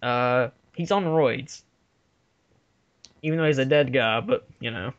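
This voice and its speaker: A man's voice: mid-range voice, unhurried. A man co-hosting a casual fan commentary with crude, flippant, playful riffing, recorded on a dull lo-fi track. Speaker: co-host of a fan movie commentary. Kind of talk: casual joking banter.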